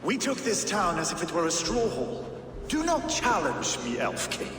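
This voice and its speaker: A man's voice: high voice, wildly swooping pitch, moderate pace, fairly bright tone, reverberant, in a large hall, recorded from a distance, slight background noise, full character voice. An actor playing a boastful, hot-tempered Danish warlord king who bellows threats, in a video game. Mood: defiant. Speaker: Danish war leader.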